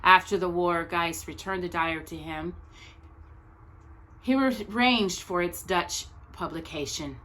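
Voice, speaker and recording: A woman reading aloud as a storytime host, speaking earnestly and deliberately to young viewers, phrase by phrase. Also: lowish voice, bright, forceful tone.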